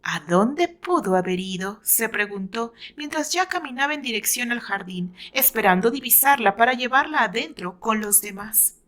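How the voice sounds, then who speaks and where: A Mexican woman reads a romantic tale aloud. Her voice sits a little high, and she narrates in a dramatised, scripted storytelling style. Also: a bit bright.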